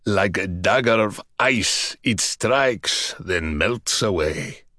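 A man's voice: deep voice, lively intonation, takes it slowly, pushed and bright, dry recording, clean studio sound, voice pushed down, exaggerated voice. Man doing a growling old general. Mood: menacing. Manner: growling, snapping. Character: gruff older male general, domineering and scornful.

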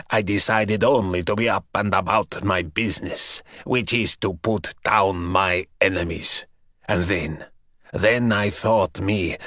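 A man voicing an ancient buried male vampire who speaks in slow, mocking, archaic phrases. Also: fairly low pitch, a bit bright, dry recording, studio quality, pitched below the performer's own voice. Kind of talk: audiobook character voice.

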